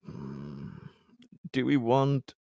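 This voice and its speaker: Slow, measured man roleplaying a formal leader. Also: mid-range voice, very animated, creaky, mellow, no echo, studio quality. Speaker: player in a tabletop roleplaying game.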